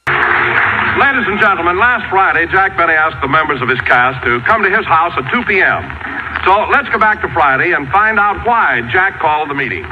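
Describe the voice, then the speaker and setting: A man's voice: fairly high pitch, expressive, moderate pace, lightly acted. An American man playing an announcer who narrates in 1940s radio style. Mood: formal narration. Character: male radio show announcer. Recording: dull, faintly noisy 1940s radio recording.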